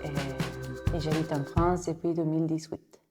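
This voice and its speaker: A woman from Mexico, a guest in a casual interview, speaking French as a with frequent pauses and restarts, recorded in a yoga studio. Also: low-pitched, flat delivery, music in the background. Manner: hesitant, many restarts and fillers.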